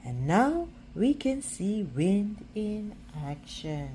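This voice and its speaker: Woman reader as upbeat storybook schoolteacher, unflappable, reassuring, lively swings in pitch, mellow tone. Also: very slow, slight background noise. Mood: breezy, upbeat.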